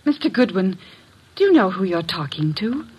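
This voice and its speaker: An actress playing an anxious young heiress in an old-time radio drama, with a very husky, breathy voice. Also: female voice, medium pitch, big pitch swings, moderate pace, darkish tone. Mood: polite correction. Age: young adult.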